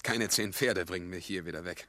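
A young bank employee in a vintage radio drama, with a fairly low voice. He is courteous and earnest, eager to help, and slightly flustered in his hesitant phrasing. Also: fairly quick, bright, forceful tone. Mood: guarded, candid. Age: young adult. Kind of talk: 1950s German radio play acting.

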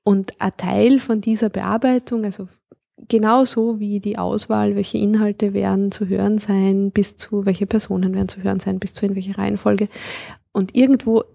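A middle-aged woman with a gravelly, mellow voice talks through ideas in a measured way and searches for exact words. Her voice sounds dry and close, with no room sound around it. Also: mid-range voice, level tone, dry recording. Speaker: linguist guest. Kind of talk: thoughtful conversational explanation.

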